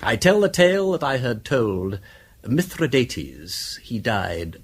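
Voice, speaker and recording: Casting: witty upper-class English gentleman sleuth for radio drama; hugely animated pitch, slightly husky, drawling mock courtesy. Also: slow pace, exaggerated voice. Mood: wry, reflective. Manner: urbane, teasing, mock-polite, drawling. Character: flippant aristocratic gentleman detective, male, theatrical and witty.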